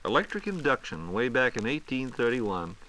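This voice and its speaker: A man's voice: medium pitch, very animated, normal speed, pushed and bright, low-quality recording. An American man with a folksy, prompting manner, co-hosting a scripted 1940s radio program on an old transcription recording. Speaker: radio co-host asking questions.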